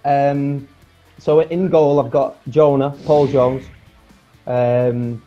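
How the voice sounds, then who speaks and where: A man in his forties chatting casually as a guest on a video call, relaxed and rambling, with plenty of fillers and restarts. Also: medium pitch, very slow. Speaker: guest, former footballer. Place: home room, indoors. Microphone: earphone mic on a video call. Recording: dull lo-fi video call audio with faint music.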